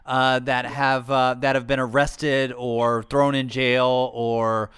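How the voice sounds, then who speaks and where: A man hosting a podcast, asking questions in an enthusiastic, halting way. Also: mid-range voice, level tone, a bit bright, smooth, no echo, clean studio sound. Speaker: podcast host. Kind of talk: interviewing a guest.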